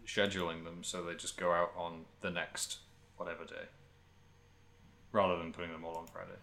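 Deep, bright-voiced male podcast co-host. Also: little pitch movement, normal speed, slight background noise. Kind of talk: casual chat.

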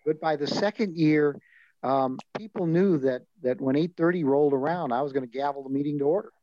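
A man's voice: on the high side, brisk, mellow, no echo, dull audio. A man speaking as a guest on a talk show, earnest and plainspoken. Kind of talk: casual interview chat.

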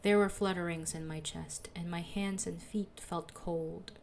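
A young woman with a soothing voice, calmly narrating a personal audio diary as a podcaster. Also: lowish voice, a little slow, vocal fry, slight background noise. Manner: calm, reflective, confessional.

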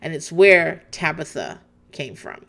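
A woman's voice: deep voice, sing-song, measured pace, creaky, fairly bright tone. A woman host chatting casually as she recaps a reality show, swearing freely and laughing often.

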